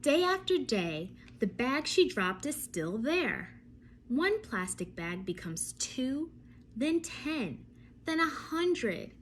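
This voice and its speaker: A woman with a fairly high voice reads a story for children. Her delivery is slow and very animated, and she speaks straight to her young listeners with little questions. A light background noise sits behind her. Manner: expressive, addresses young listeners directly with questions. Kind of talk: read-aloud bedtime story for children, with asides to listeners.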